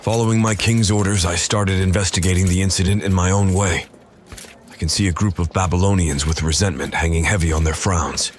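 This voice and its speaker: A man voicing a loyal, earnest royal officer who gravely narrates his investigation in an audio drama. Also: sits low, fairly even intonation, fairly quick, slight background noise, lightly acted. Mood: earnest, troubled. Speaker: writer and performer of the audio drama. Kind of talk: scripted first-person audio drama narration.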